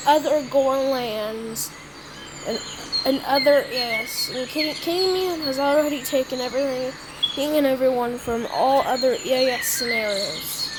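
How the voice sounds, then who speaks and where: Woman, higher-pitched, as amateur emergency-alert announcer; halting, stumbling delivery; heavy background noise and chirping birds. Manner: halting, with stumbles and repeated words. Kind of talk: improvised mock emergency broadcast announcement. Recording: noisy homemade recording, birdsong behind.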